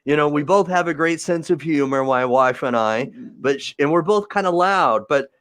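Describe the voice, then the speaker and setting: A man with a somewhat high voice hosts a live video-call show, warmly affirming his guest and breaking into easy laughter. The sound is dry and close, with no room behind it. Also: moderate pace, no room sound. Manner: encouraging, affirming, laughs easily. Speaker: show host. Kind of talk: casual livestream interview.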